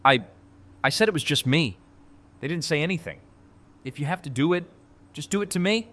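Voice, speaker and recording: Guy acting as scared stammering man, cornered, highish voice, audio drama. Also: animated, brisk, fairly bright tone. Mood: terrified, pleading.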